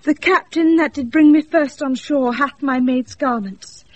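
A woman's voice: high voice, a little slow. An actress playing a devoted young woman disguised as a page in a British radio Shakespeare, earnest and lyrical, on a noisy off-air recording. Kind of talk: 1980s radio Shakespeare acting.

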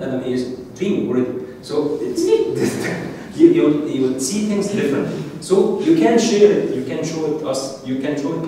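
Middle-aged Egyptian-accented male lecturer, halting, mic'd distantly in a reverberant dark screening room. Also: a little high. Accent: Egyptian Arabic. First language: Arabic. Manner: halting, repeats words, animated. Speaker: masterclass speaker. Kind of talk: informal lecture to an audience. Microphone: handheld mic, filmed from the back of the room. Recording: echoey room, distant mic, faint hiss.

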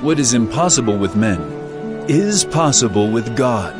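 A man's voice: medium pitch, lively intonation, moderate pace, lightly acted. Male actor as earnest prophet-teacher, declamatory, swooping intonation, audio Bible drama, strong musical backing. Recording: loud background music.

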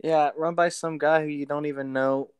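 A man's voice: a little high, little pitch movement, moderate pace, clear, resonant tone, dry recording, clean studio sound. A man co-hosting in casual, joking chat with a slangy, rambling manner. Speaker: co-host.

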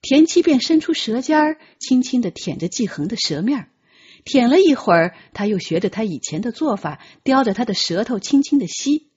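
A woman narrates an audiobook with dramatic, lively intonation. Her voice is quite husky, and the recording is slightly dull. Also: a little high, moderate pace.

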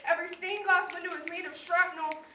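A young woman performing spoken word poetry with solemn, impassioned, measured phrasing. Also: very high-pitched, fairly even intonation, sharp tone. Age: teen or young adult. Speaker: slam poet. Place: live poetry event in a room. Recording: echoey room, distant mic, faint noise.

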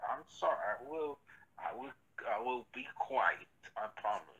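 Sharp, forceful man on a muffled line. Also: mid-range voice, moderate pace. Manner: stammering, repeating himself. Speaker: prank caller. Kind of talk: improvised phone skit.

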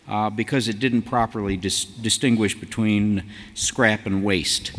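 A man in his sixties giving a prepared announcement at a convention podium in a halting, folksy way. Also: fairly low pitch. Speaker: task force chair addressing convention. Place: large convention hall stage. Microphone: podium gooseneck microphone. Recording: hall reverb from a PA.

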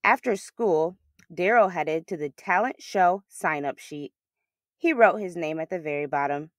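A woman reading a children's picture book aloud as a storyteller, with expressive, emphatic delivery. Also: lowish voice, a little slow, no echo, studio quality.

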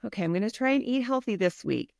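A woman's voice: medium pitch, very animated, fast talker, vocal fry, no room sound. Encouraging woman wellness coach, dry sound. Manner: earnest, encouraging, practical. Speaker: health and wellness coach, guest. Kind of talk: podcast interview.

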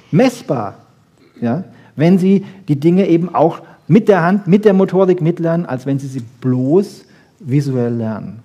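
Male lecturer, higher-set mellow voice, informal and insistent, teacherly delivery. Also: husky. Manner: emphatic, didactic, with frequent check-in questions. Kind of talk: informal public lecture on research.

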